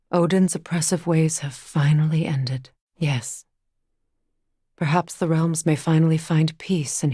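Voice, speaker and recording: A woman playing a composed, grave goddess and warrior companion in a video game, speaking in measured, clipped remarks. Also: sits low, mellow, no room sound, clean studio sound. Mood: grave, resolute.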